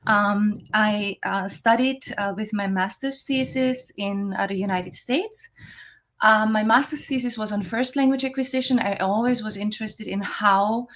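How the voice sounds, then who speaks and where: A woman's voice: medium pitch, a little slow, a bit bright. Woman, keynote over video call, earnest and emphatic, lots of restarts. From Austria. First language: German. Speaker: keynote speaker. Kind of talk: academic keynote address. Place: remote, online conference.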